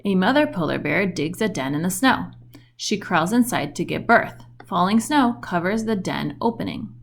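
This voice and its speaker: A woman reading a children's book aloud in an expressive storytelling style with questioning intonation. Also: fairly low pitch, normal speed, gravelly.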